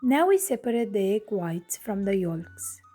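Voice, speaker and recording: A woman from Romania, hosting her cooking channel and narrating a recipe in clear step-by-step instructions from her home kitchen. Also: medium pitch, very animated, a little slow, warm tone, soft background music.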